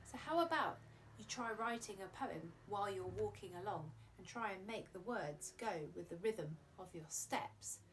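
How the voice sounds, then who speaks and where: A woman's voice: medium pitch, animated, moderate pace, slight background noise. Playful woman presenting for children. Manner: playful, chatty, addressing young viewers. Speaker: children's arts show presenter. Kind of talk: children's presenting and poem reading.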